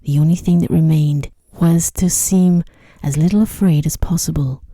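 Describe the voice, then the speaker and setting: A woman reading a sleep story in a soft-spoken ASMR style, with a gentle, hushed, unhurried delivery. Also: deep voice, steady tone, no room sound, clean studio sound.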